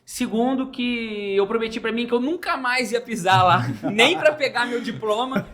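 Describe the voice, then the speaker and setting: A young doctor from Rio de Janeiro with a mild Carioca accent, telling stories and joking in a casual podcast chat. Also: male voice, extremely high pitch, moderate pace. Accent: mild Carioca Brazilian Portuguese. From Rio de Janeiro, Brazil. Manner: joking, animated storyteller. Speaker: pediatric intensive care doctor, guest.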